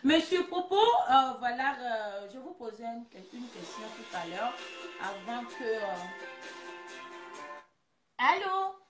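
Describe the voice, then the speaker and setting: A woman's voice: on the high side, very animated, slow pace, long pauses, roomy sound, music in the background. A woman from Congo hosting a live call-in show, chatting casually and effusively with those on the line.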